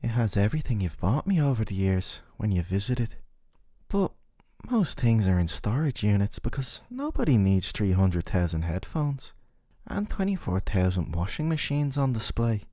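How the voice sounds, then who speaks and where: Man acting as mellow, possessive yandere boyfriend. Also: lowish voice, very animated, normal speed, no room sound, clean studio sound. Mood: gently coaxing. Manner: soft, coaxing, articulate, teasing tag questions. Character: possessive, gentle yandere dating-sim boyfriend, doting and smug. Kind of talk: scripted ASMR roleplay monologue to the listener.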